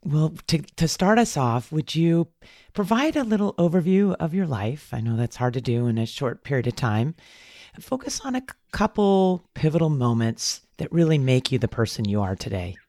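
A podcast host asks the guest friendly questions, sometimes halting mid-sentence. The voice is mellow and the recording is dry, with no room sound. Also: mid-range voice, very animated, no room sound. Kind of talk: interview questions.

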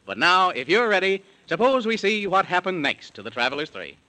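A man's voice: sits high, very animated, fairly quick, pushed and bright, poor audio. A man narrating a 1930s radio children's serial in rhyme, with a theatrical sing-song storytelling delivery.

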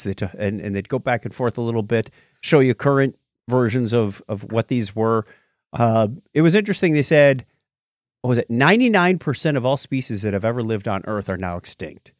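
A man co-hosting a podcast, chatting casually and recounting things in a rambling, animated way. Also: medium pitch, dry recording, studio quality. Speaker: podcast co-host. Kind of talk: casual podcast chat, recounting a nature documentary.

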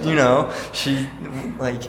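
A man's voice: mid-range voice, fairly even intonation, very slow. A man, an architect, talking casually in a group discussion and rambling, with many fillers and restarts. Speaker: architect, program organizer. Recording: echoey hall with loud room noise.

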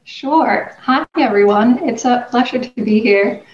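Female panelist from Sweden, mid-pitched, conversational, recorded roomy and dull. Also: measured pace, darkish tone. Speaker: panelist, nonprofit founder.

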